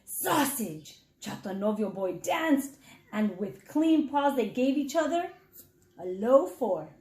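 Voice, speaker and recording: A woman's voice: on the high side, unhurried. A woman reading a children's story aloud as a teacher, speaking expressively and putting questions straight to young listeners. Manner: expressive, addresses children directly. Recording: echoey room sound.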